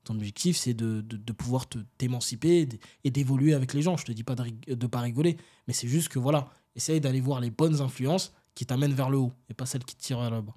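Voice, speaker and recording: A man from France, an interview guest telling stories in casual, animated, slangy talk and often seeking agreement. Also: medium pitch, fast, few pauses. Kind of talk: casual conversational storytelling.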